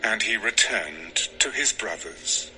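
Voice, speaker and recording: A man's voice: deep voice, animated, slow pace, bright, forceful tone, slight background noise, rough recording. A man narrating a dramatized Bible story as a solemn, scripted storyteller. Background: music.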